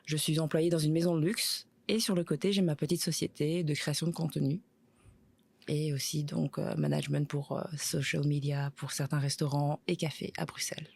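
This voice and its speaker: A woman in her thirties from Belgium, chatting as a podcast guest, hesitant with many fillers and quick to laugh. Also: low voice, steady tone, vocal fry, a little mellow, slightly rough, no room sound. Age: 30s. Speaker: podcast guest, content creator. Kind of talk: casual interview chat.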